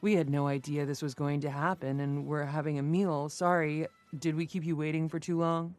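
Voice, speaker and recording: A woman's voice: low voice, little pitch movement, normal speed, darkish tone, faint music behind, natural voice. A woman narrator reading the lines of an easygoing, dry and reassuring young man in a story.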